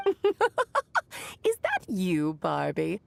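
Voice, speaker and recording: A woman's voice: lowish voice, wildly swooping pitch, drawn-out words, vocal fry, dry recording, heavily acted. A woman voicing a playful, scheming witch storyteller in a video game, teasing and grandiose in manner. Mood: teasing, delighted.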